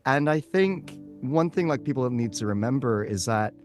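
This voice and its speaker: Animated, effusive man chatting as guest. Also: mid-range voice, normal speed, faint music behind. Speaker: interview guest, voice actor. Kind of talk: casual chatty interview.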